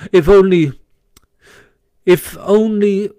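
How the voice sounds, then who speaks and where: A man's voice: sits high, normal speed, darkish tone, no room sound, pitched above the performer's own voice, lightly acted. A man voicing a doubtful but kindly storybook rat, wry and coaxing. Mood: dubious.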